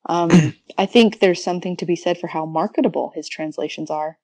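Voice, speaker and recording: A woman's voice: fairly low pitch, fairly quick, warm tone, dry recording. A woman speaking as a podcast guest and researcher, explaining things casually and thinking aloud in an informal way.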